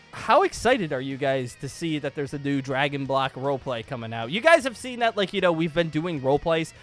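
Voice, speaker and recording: A man with a fairly high, very bright voice talks as the host of a gaming channel. He rambles casually and gets emphatic and excitable as he makes his points. Faint music plays behind him. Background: background music.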